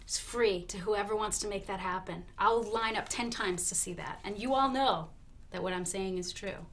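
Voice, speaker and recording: A woman hosting a comedy talk show with a deadpan, mock-serious delivery. She stays polite and earnest while saying absurd things, at an ordinary mid pitch. A faint hiss sits under the recording. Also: normal speed.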